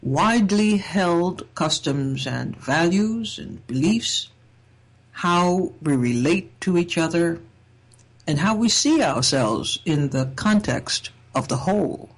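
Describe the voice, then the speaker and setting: Slow, husky woman from Trinidad. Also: on the low side, animated, rough recording. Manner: slow, measured and thoughtful, with wry humor. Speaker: speaker sharing a personal essay. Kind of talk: reflective personal talk.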